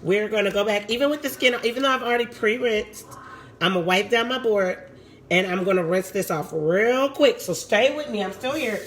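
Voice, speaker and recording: Raspy woman chatting through a cooking stream. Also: medium pitch, brisk, a little hiss or hum. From Puerto Rico. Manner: chatty, talks to live viewers while cooking. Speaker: home cook hosting a cooking stream. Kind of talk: casual live cooking demo. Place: home kitchen.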